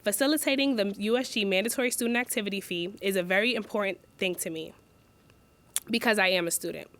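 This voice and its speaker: A woman's voice: medium pitch, fast, fairly bright tone. A young woman student giving an earnest, read campaign speech as a candidate, stopping to redo a line when she slips. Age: young adult.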